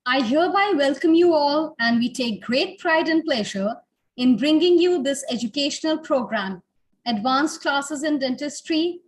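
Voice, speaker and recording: High-pitched woman, formal webinar host. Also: rough recording. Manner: formal, ceremonious. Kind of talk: formal scripted introduction.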